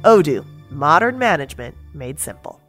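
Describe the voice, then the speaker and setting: A woman's voice: low-pitched, big pitch swings, moderate pace, loud music behind the voice. Upbeat woman reading sponsor ads. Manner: bright, upbeat ad delivery. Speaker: ad and intro announcer. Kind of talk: scripted sponsor read.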